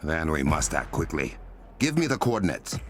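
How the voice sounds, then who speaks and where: An actor playing a solemn, protective warrior king with an African accent, speaking in a formal, commanding, measured way for a video game. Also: extremely low pitch, big pitch swings, slight background noise.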